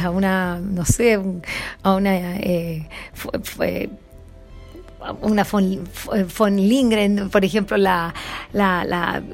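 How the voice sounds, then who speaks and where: A woman, interviewing with hesitant, winding questions full of fillers. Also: mid-range voice.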